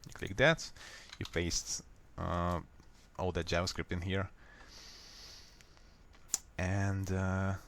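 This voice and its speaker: A man with a Hungarian accent, a native Hungarian speaker, calmly walking viewers step by step through a software fix in a screen recording. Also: sits low, gravelly, slight background noise. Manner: unhurried, plain, step-by-step explaining. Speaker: software tutorial maker. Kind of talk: screen-recorded how-to walkthrough.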